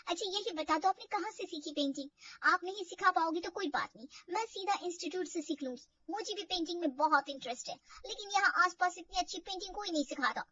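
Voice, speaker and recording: A woman voicing an excitable, gushing, eager young sister-in-law in a family drama story. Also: very high voice, fast, distant mic, lo-fi sound. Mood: eager, admiring. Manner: gushing, exclamatory.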